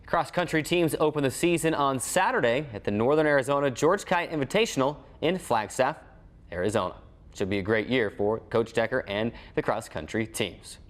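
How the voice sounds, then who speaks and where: A man's voice: mid-range voice, moderate pace. An American man hosting a sports show, reading scripted previews with an announcer-like delivery. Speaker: sports show host and team broadcaster.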